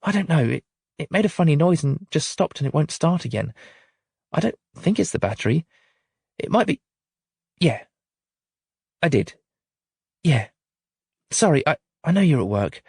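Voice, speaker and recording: A man's voice: on the high side, very animated, normal speed, vocal fry, pauses often, a little mellow, slightly rough, no echo, clean studio sound, voice pushed up, full character voice. A man voicing a frazzled mum. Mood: stressed. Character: stressed, harried young mother.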